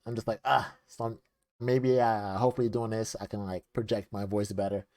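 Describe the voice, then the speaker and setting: A man's voice: lowish voice, little pitch movement, fairly quick, a little mellow, no room sound. A young man chatting casually into a desk microphone as he reacts to a video, rambling with lots of fillers.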